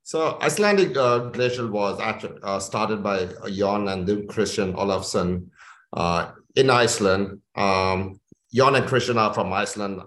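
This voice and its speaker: A man's voice: lowish voice, measured pace, a bit bright. A man from India speaking conversationally and enthusiastically as a chief executive being interviewed, often repeating phrases for emphasis. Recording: echoey room.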